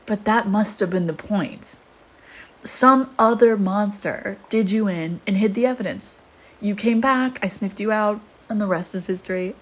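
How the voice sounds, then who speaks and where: A woman's voice: mid-range voice, unhurried, lightly acted. A woman playing a flirty, teasing vampire in an intimate audio roleplay, with a sardonic, casual manner. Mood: musing, playful. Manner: sardonic, teasing, casual murmuring with sighs and whispered asides.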